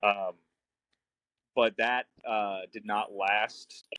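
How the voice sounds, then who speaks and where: A man's voice: on the low side, flat delivery, drawn-out words, pushed and bright, no room sound, poor audio. A young man, a guest filmmaker talking frankly and easily in a casual podcast chat. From New Orleans. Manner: frank, easygoing, laughs often.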